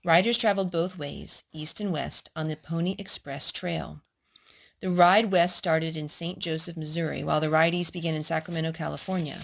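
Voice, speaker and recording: A woman's voice: sits low, steady tone, moderate pace, gravelly. A woman hosting a children's storytime, reading picture books aloud and asking little prompting questions in a child-directed way.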